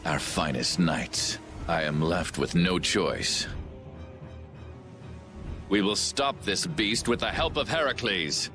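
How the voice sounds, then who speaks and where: Grave, slow-speaking commanding officer. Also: male voice, low-pitched, lively intonation, music in the background. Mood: solemn, resolute. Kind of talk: dubbed anime video game voice acting.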